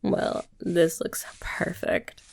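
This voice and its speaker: A young woman in an ASMR girlfriend roleplay, talking softly and teasingly to the listener. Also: extremely slow. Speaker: ASMR creator.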